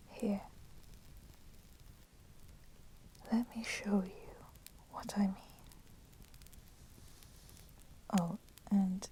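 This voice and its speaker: A woman voicing a proud, resentful female vampire in a whispered ASMR roleplay, speaking softly and deliberately in formal, menacing phrases. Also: mid-range voice, lightly acted. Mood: coolly teasing. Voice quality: soft, breathy whispering. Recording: constant crackling fire noise behind voice. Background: crackling fire.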